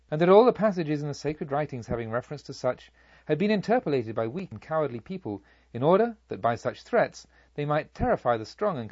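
Male audiobook reader: expressive literary narration, deliberate phrasing, lively intonation, somewhat muffled sound. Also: a little high, husky, no room sound.

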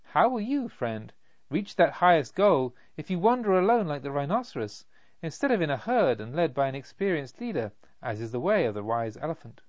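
A man's voice: on the high side, very animated, brisk, no echo, lo-fi sound. A man voicing an aged, benevolent, self-satisfied Brahmin teacher with a lofty, didactic manner, in a storybook reading. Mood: questioning.

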